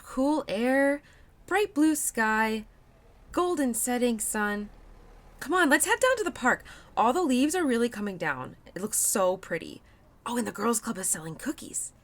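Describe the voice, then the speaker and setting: A woman playing a playful, affectionate autumn spirit and protective childhood friend in an expressive, chatty audio roleplay. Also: fairly high pitch. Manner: expressive, chatty, teasing, with laughs and sighs. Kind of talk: girlfriend audio roleplay acting.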